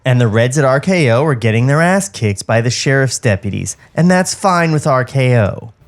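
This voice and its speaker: A man's voice: mid-range voice, expressive, moderate pace, natural voice. Man reading as fed-up, scolding studio boss. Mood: scornful. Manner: exasperated, scolding. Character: curt, fed-up male studio boss scolding rowdy animators. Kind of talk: amateur script table read.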